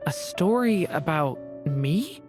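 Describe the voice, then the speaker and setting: Slow, wistful dragonborn boy. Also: low-pitched, expressive, loud music behind the voice. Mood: thoughtful. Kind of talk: video game character acting.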